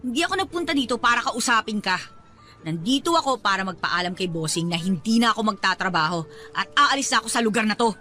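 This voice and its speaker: A woman's voice: medium pitch, expressive, fast talker, pushed and bright, soft background music. A woman with a Filipino accent playing a distraught, defiant pregnant daughter in a radio-style drama, emotional and emphatic. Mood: cold, irritated.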